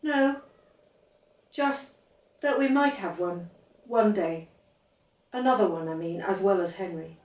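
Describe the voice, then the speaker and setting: A woman's voice: mid-range voice, expressive, slow, pauses often, darkish tone, far from the mic. A woman voicing an eager, insistent seven-year-old girl in a read-aloud story, earnest and bossy. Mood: persistent, hopeful. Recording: roomy, set back from the mic.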